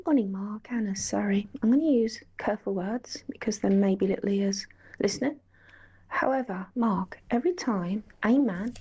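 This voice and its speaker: A woman leaving a voice note, speaking in a deliberate, earnest, emphatic way. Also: medium pitch, creaky, mellow, husky. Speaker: listener sending voice note. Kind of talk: prepared impassioned statement. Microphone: voice note recording. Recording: dull lo-fi voice note.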